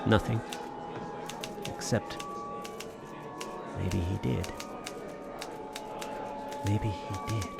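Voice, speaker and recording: A man's voice: fairly low pitch, steady tone, drawn-out words, gravelly, pauses often, over loud music, full character voice. An actor playing a grave, haunted, learned man in a radio drama, reciting dramatically.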